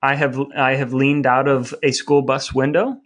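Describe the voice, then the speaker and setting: A man's voice: mid-range voice, level tone, normal speed. A man in his thirties or forties co-hosting a podcast, telling rambling stories in casual, wry banter. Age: 30s or 40s. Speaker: podcast co-host.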